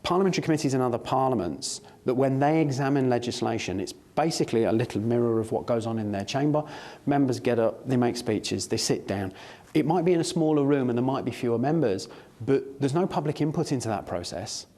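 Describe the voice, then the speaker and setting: Brief: man in a documentary interview, earnest parliamentary official, medium pitch, keen and explanatory. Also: brisk, few pauses, mellow. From not New Zealand.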